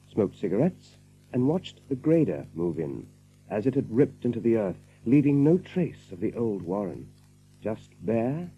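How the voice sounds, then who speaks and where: A man's voice: medium pitch, husky, narrow, tinny audio. A man narrating a story as scripted, dramatic storytelling for an audio drama.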